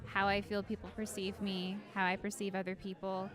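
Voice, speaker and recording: A woman's voice: medium pitch, completely flat delivery, a little fast, crowd noise. A woman giving casual, reflective interview answers, rambling a little as she thinks aloud.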